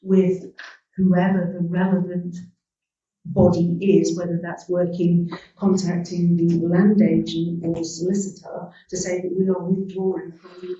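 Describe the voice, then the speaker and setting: A woman councillor reads out her motion in a measured, careful way. Her voice is low and mellow, with little rise and fall. She sounds distant in a very echoey room, and the recording is dull. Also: level tone. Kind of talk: formal council meeting.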